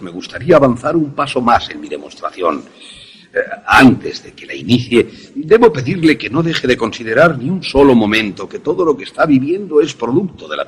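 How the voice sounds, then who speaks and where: Man acting courteous, theatrical older professor, very animated. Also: medium pitch, a little hiss or hum, close to an everyday voice. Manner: formal, theatrical, hesitant repetitions. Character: courteous, eloquent older professor, inventor of ghostly illusions. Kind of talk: Spanish-language suspense radio drama acting.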